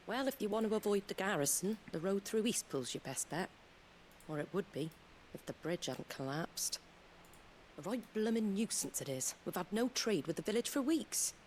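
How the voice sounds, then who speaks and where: An actress playing a wary, plain-spoken innkeeper in a video game. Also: female voice, medium pitch, lively intonation, normal speed, a little mellow, a little hiss or hum, natural voice, rough recording. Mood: helpful. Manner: guarded, plain-spoken, folksy. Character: wary, blunt woman innkeeper. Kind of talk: game dialogue.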